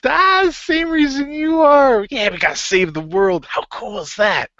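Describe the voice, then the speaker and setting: A man voicing a goofy, excitable young man, an eager would-be hero in an amateur radio play. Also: very high-pitched, a little slow, dry recording, muffled recording, voice pushed up, full character voice. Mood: giddy, excited.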